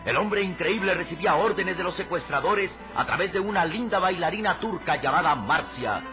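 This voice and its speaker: Male narrator, raised pitch, declamatory radio serial style, muffled sound, music underneath. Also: animated, fairly quick. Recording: muffled old radio drama recording. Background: prominent music.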